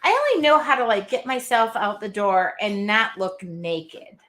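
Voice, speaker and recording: A woman with a nasal Midwestern accent hosting an art journaling live stream from her art studio, talking in a loud, chatty, self-deprecating way. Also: medium pitch, big pitch swings, normal speed. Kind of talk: casual live-stream chat.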